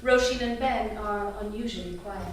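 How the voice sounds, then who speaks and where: A woman's voice: medium pitch, normal speed. A woman reading out stage directions as scripted narration at a staged reading. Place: theatre. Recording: very echoey, noisy hall from far off.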